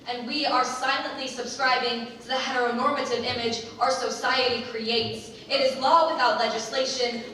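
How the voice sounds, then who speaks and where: A woman's voice: on the high side, bright, forceful tone. Echoey, distant young woman reciting slam poetry. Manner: impassioned, deliberate, emphatic delivery with dramatic pauses. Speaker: student slam poetry performer. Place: large echoing room, live stage performance. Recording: echoey hall, distant mic, faint noise.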